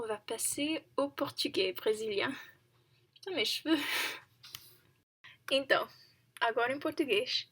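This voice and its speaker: Slow, hesitant young woman practicing languages. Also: a little high, a bit bright. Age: 20s. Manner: hesitant, halting, laughs often; self-correcting, searching for words. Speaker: language learner vlogger. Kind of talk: casual practice monologue to camera.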